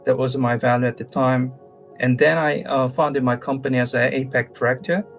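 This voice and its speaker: Halting Korean man, gravelly voice. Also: mid-range voice, fairly even intonation, soft background music. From South Korea. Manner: halting, many "uh" fillers and restarts. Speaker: career coach. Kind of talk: live-streamed lecture.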